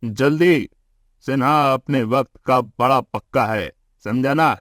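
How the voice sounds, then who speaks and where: A man's voice: medium pitch, slow pace, a bit bright, dry recording, clean studio sound. A man voicing a commanding, pompous rich land-grabber who bullies the poor in a cartoon moral story. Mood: bullying, boastful. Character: gruff, bullying male land-grabbing rich villain.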